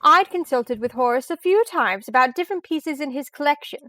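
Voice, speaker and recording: A woman playing a brisk, sardonic professor and treasure hunter in an old-time radio detective drama, tossing off confident wisecracks. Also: sits high, lively intonation, sharp tone, dry recording. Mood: confident explaining. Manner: quick sarcastic wisecracks, confident.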